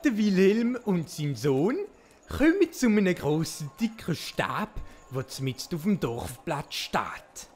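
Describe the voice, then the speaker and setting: A narrator telling a comic audio play in a cheeky, lively way with a Swiss German accent. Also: mid-range voice, slow. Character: cheeky, lively storyteller of a mock legend.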